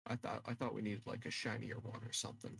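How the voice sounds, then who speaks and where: A man's voice: lowish voice, little pitch movement, quick pace, vocal fry, a bit bright, slight background noise. A man chatting casually as a player in an online tabletop game.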